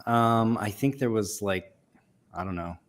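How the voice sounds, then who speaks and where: A man hosting a live online show about comic conservation, talking in a relaxed, rambling, self-deprecating way. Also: on the low side, unhurried. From Texas. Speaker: host. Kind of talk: casual live show chat.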